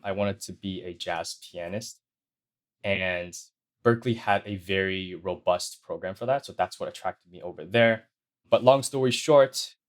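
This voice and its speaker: Soft-spoken low-voiced male guest. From China. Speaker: guest, sound designer. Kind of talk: interview answers.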